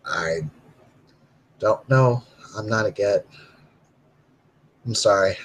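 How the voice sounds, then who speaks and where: Man playing a husky, self-deprecating urban werewolf. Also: on the low side, takes it slowly. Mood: resigned. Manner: wry, self-effacing, noncommittal. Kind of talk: in-character tabletop roleplay dialogue.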